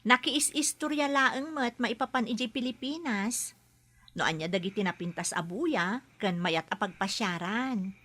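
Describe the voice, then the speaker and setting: A woman's voice: on the high side, measured pace, a bit bright. A woman acting a patient, caring young health worker in an Ilocano radio drama, with an Ilocano Filipino accent. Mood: curious, friendly.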